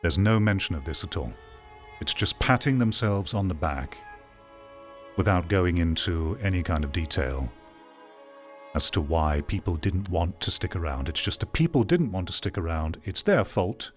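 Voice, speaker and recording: A man with a fairly low voice gives casual, off-the-cuff commentary over faint music. He is blunt and exasperated, hammering points home by repeating himself. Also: lively intonation, normal speed. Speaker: host giving commentary on game news.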